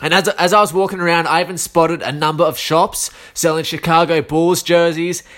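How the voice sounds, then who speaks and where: Australian guy, 30s, under the weather, loose slangy solo chat, voice sits a bit high. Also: steady tone, fairly quick, a bit bright. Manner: rambling, slangy, many fillers and restarts. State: a bit sick, coughing.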